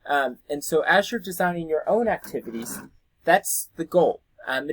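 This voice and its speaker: A man's voice: medium pitch, a bit bright, no echo, rough recording. A man giving an informal instructional talk, explaining as a teacher would and thinking aloud as he goes. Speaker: science teacher educator presenting to teachers.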